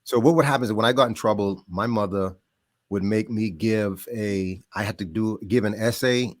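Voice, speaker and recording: Animated man from the Bahamas. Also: lowish voice, moderate pace, no echo. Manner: animated, laughs often, trails off into quieter asides. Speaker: podcast guest. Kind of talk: casual storytelling.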